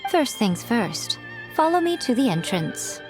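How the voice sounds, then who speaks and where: A woman's voice: on the high side, sing-song, over music. A woman playing a composed, teasing female shrine priestess in a game, speaking wryly and unhurriedly. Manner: wry, unhurried, faintly mocking. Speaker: game character.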